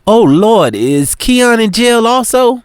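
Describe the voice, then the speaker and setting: A man's voice: very high voice, very animated, slow, clear, resonant tone, no room sound, pitched above the performer's own voice, exaggerated voice. A man voicing a worried, devout elderly grandmother who pleads and prays aloud, recorded dry and close.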